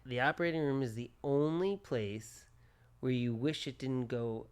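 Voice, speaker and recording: A man's voice: medium pitch, steady tone, normal speed, smooth. A man in his forties, a plastic surgeon co-hosting a podcast, chatting casually in short repeated phrases. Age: 40s.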